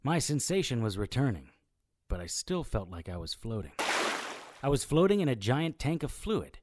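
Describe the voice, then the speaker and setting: An actor playing a wry, self-mocking immortal occult investigator who narrates an audio drama in noir style. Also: male voice, medium pitch, sing-song, normal speed, darkish tone. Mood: brooding, puzzled. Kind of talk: scripted first-person audio drama narration.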